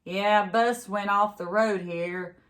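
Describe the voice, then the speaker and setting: A woman's voice: medium pitch, steady tone, slow pace, a bit bright. A folksy, talkative local driver from a storybook, read by a woman. He tells things in a plain, grim, matter-of-fact way.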